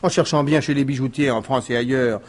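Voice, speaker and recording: An actor playing a deferential, dryly amused police assistant in a detective radio drama, reporting in a halting, modest manner. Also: male voice, mid-range voice, close to an everyday voice. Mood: musing.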